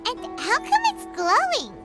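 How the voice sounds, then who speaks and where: A woman voicing a tiny, childlike fairy companion in a video game, curious and innocent, with a squeaky voice. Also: huge pitch swings, unhurried, exaggerated voice. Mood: puzzled, uneasy. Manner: childlike, squeaky, speaks of herself by name. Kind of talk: cartoonish video game voice acting. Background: loud game music.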